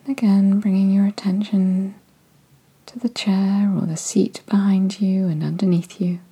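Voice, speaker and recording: A woman guiding a body-scan meditation in a soft, soothing voice that often drifts into whispers. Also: mid-range voice, fairly even intonation, unhurried, husky. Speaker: meditation guide.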